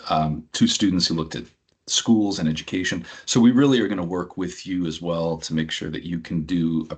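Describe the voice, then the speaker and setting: A middle-aged man from New Hampshire with a very low, husky voice walks listeners through a study program. He talks conversationally, leaning on frequent ums and uhs as he explains. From New Hampshire, USA. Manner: filler-heavy, conversational explaining. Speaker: program director and anthropologist. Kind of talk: information session presentation.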